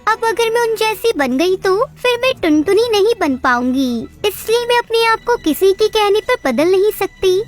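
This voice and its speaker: A woman's voice: very high-pitched, very animated, normal speed, hardly any pauses, soft background music. A woman voicing a kind, trusting little female bird in a children's cartoon story, sounding sweet, earnest and childlike.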